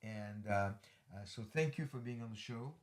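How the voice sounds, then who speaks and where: A man's voice: lowish voice, normal speed. A middle-aged man hosting a ministry podcast, closing an interview with rambling, filler-heavy but earnest appeals. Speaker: host, ministry leader.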